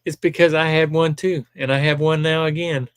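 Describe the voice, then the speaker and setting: A man's voice: fairly high pitch, flat delivery, normal speed, smooth, no echo. An older retired man from Alabama with a Southern accent, hosting a live stream and chatting casually as he reads viewer comments aloud with plenty of ums.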